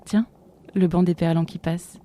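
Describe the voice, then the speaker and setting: A woman acting a hesitant, dreamy sea-dweller in an audio fiction, musing and questioning softly to herself. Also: fairly low pitch, steady tone, moderate pace, lightly acted. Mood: pensive. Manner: musing, questioning, soft-spoken.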